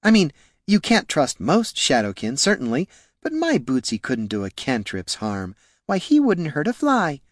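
A man's voice: a little high, huge pitch swings, normal speed, no echo, studio quality, voice pushed up. A man voicing a haughty, prim and easily scandalised noblewoman in a fantasy audiobook. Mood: prim, defensive. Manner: prim, indignant.

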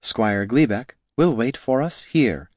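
A man's voice: medium pitch, animated, slow, no room sound, studio quality, pitched above the performer's own voice. A man voicing a composed female ranger who speaks firmly and pointedly, in a fantasy audiobook. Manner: firm and pointed.

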